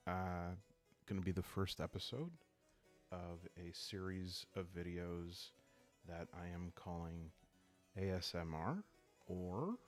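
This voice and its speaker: A man speaking calmly and soothingly in an unhurried way, hosting a relaxing role-playing series meant to help listeners fall asleep. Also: low voice. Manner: calm, unhurried, soothing delivery. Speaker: host of a solo role-playing video series. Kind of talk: calm, sleep-aid ASMR narration to viewers. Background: background music.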